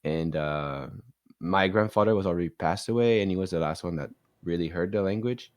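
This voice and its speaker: Deep Quebec French-accented man, dry sound. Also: brisk, dry recording, muffled recording. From Quebec, Canada. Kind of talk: casual conversational talk.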